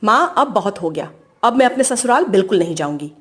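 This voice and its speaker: A woman's voice: mid-range voice, big pitch swings, fairly quick, breathy edge, voice pushed down. A woman playing a defiant young daughter-in-law who complains and talks back in a family story. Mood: indignant. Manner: whiny, back-talking.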